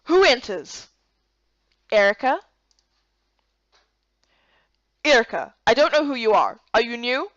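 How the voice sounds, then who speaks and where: Woman acting out a gruff, sarcastic, irritable man. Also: on the high side, sing-song, normal speed, dry recording. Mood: gruff, suspicious. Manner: brusque, sarcastic. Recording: dull lo-fi close recording.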